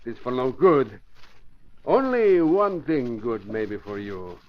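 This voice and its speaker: An actor playing a theatrical Mexican hired killer with a Spanish accent and broken English, menacing but cowardly, in 1940s radio crime drama. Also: male voice, medium pitch, sing-song, takes it slowly. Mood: gloating, menacing. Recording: muffled, noisy vintage radio recording.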